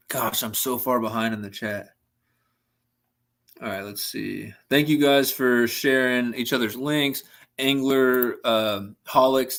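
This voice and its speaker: A man's voice: mid-range voice, a bit bright. A young man hosting a casual fishing livestream, chatting and answering viewers' messages, his voice a little worn from talking. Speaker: livestream host.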